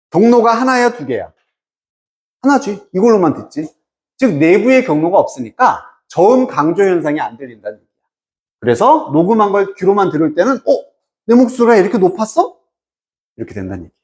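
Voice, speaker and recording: A man teaching English in an explanatory lecture, emphatic and informal, often asking questions and answering them himself. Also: sits high. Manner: asks and answers own questions, checking understanding. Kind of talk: explanatory exam-prep lecture.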